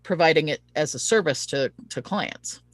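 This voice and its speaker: A woman's voice: sits low, lively intonation, moderate pace, no echo. A woman hosting a conversational interview, talking in an emphatic way and given to tangents. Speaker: interview host.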